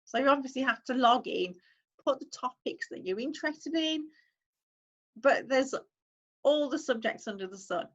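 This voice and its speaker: A woman's voice: sits high, lively intonation, normal speed, pauses often, highs cut off. A woman, hosting a casual screen-share tutorial, chatting as she clicks through steps and reads on-screen text aloud. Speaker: online-income YouTuber host.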